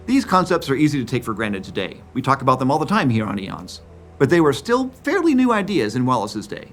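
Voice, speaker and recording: Lively man narrating a science documentary. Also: a little high. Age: 50s. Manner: clear, explanatory.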